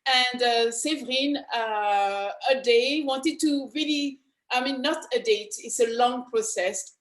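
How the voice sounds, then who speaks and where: A woman's voice: fairly high pitch, a little slow, reverberant. A woman hosting an interview show, upbeat, with lots of fillers and restarted sentences. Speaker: host.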